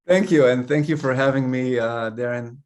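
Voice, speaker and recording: Man, thoughtful and measured. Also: medium pitch, darkish tone. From Israel. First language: Hebrew. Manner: thoughtful, measured, frequent uh fillers. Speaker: guest, company CEO. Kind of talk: casual interview chat.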